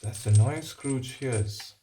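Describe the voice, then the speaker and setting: A man reading a picture book aloud, pausing for simple explanatory asides and checking in with the listener. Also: medium pitch, noisy background. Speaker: storybook reader.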